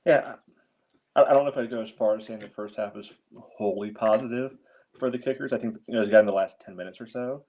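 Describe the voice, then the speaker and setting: A man with a somewhat low voice chats casually about sport as a co-host. He rambles and hesitates, with wry understatement throughout. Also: quick pace, highs cut off. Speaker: podcast co-host.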